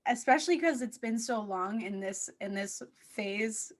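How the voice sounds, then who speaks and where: A woman's voice: mid-range voice, a little slow. A woman hosting a podcast interview in a casual, chatty and informal way, with plenty of slangy filler. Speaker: podcast host and children's theater director.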